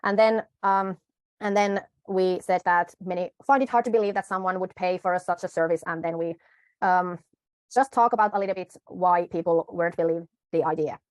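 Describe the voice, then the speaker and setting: Woman coaching on media pitches. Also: fairly even intonation, no room sound. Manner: unhurried, with frequent pauses and self-corrections. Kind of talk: tutorial talk to camera.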